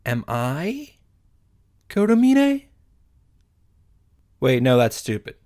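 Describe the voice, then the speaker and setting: A man's voice: mid-range voice, sing-song, extremely slow, darkish tone. A man hosting a casual scripted recap of a visual novel, with a wry, joking delivery. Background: faint music.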